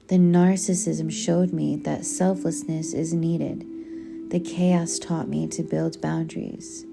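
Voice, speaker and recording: A woman reads aloud in a soothing audiobook style. Her voice is on the low side and very unhurried, with gentle, deliberate phrasing. Music plays clearly behind her. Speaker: book reader. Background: background music.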